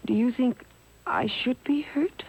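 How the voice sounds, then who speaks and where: A woman's voice: a little high, a little slow, breathy edge, phone-quality sound. A woman playing a scheming, frightened young blonde in a 1950s radio drama, hesitant and coy. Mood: coy, pleading.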